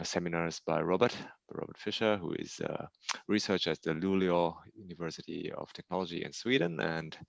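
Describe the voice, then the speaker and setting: A man moderating an online conference, polite and halting with many fillers. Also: low voice, vocal fry, no room sound. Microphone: video call mic. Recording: dry lo-fi video call audio.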